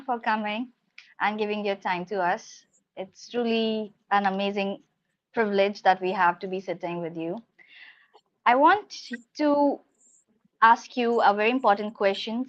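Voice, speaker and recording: A woman hosting an interview, formal and effusive with her guest. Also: mid-range voice. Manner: effusive, formal, says ma'am. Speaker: interview host. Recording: dull lo-fi audio.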